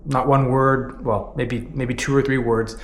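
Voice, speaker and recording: A man's voice: mid-range voice, little pitch movement, a little slow, roomy sound, a little hiss or hum. A Canadian man in his thirties, earnestly narrating his own vlog in a candid way, often dropping "you know" as he talks. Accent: Canadian English. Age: 30s. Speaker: vlogger. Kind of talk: reflective personal vlog narration.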